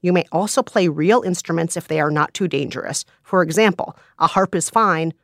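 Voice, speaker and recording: Woman podcast co-host, slightly low voice, playful and bubbly, dry close mic. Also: brisk, creaky, no echo. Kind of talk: casual chat and reading steps aloud.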